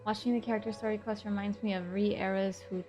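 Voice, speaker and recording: A woman's voice: medium pitch, flat delivery, quick pace, dark tone, faint music behind. A woman streaming a game, chatting casually and reacting aloud with giggles. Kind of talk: casual commentary over gameplay.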